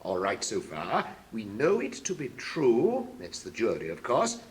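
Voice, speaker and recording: A woman's voice: rumbling low, huge pitch swings, unhurried, voice pushed down, full character voice. A woman voicing a muddled, self-important old King in a storybook reading, with pompous, flustered manner and sudden shifts from barked orders to muttering. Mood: musing.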